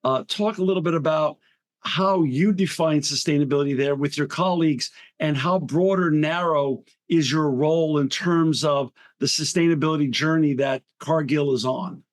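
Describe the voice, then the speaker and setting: A man's voice: on the high side, moderate pace. A man hosting a podcast interview who is effusive and polite, with frequent praise for his guest. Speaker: podcast host. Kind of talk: podcast interview and sponsor read.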